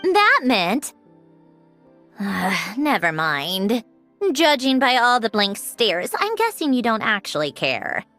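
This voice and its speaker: A haughty young female genius from a video game. She is high-pitched and very expressive, with dry, lecturing delivery and music behind her. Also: slow, full character voice. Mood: deflated, dry. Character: proud, aloof, sharp-tongued young female genius. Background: background music.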